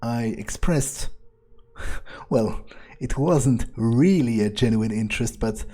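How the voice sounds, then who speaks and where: A man's voice: mid-range voice, takes it slowly, mellow. A man giving in-character commentary on a game playthrough, musing casually and swearing freely as he goes. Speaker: gamer role-playing his character aloud.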